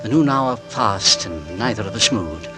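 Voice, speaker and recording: A man's voice: fairly low pitch, lively intonation, normal speed, lightly acted. A man acting a nervous businessman who narrates an old radio drama. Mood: brooding. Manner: tense, hushed, rising to frantic. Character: anxious middle-aged English businessman, narrator. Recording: old radio broadcast with music.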